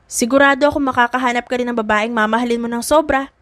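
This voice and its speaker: A cheerful, frank young woman from an audiobook romance, acted by a female narrator. She is expressive and caring, with a high, dry-recorded voice. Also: fast, no echo, lightly acted. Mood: gentle. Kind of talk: audiobook drama.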